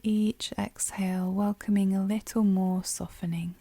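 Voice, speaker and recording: Woman doing guided relaxation, super mellow n soft, bit of creak, breathy almost whispery, gentle pauses. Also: medium pitch, flat delivery, no echo. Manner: soothing, gentle, unhurried pauses. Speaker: meditation guide. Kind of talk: guided yoga nidra meditation.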